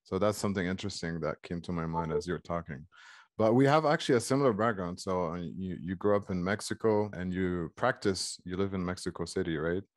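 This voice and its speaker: Very deep, dry-sounding man in conversation. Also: brisk, no echo. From Egypt. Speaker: author in conversation. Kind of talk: thoughtful conversation. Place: small book-filled room at home, video call.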